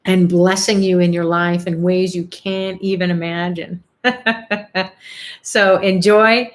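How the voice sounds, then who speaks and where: A woman's voice: fairly low pitch, steady tone, slow, faint music behind. A middle-aged woman hosting an online chanting and prayer call, chatting casually, reading out comments and laughing often. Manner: upbeat, warm-hearted, laughs often, many fillers. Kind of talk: casual spiritual group chat, reading comments.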